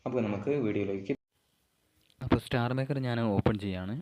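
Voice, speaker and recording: A man from Kerala, giving an informal step-by-step tutorial in halting, short phrases. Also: medium pitch, a little mellow. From Kerala, India. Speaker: amateur vlogger giving an app tutorial.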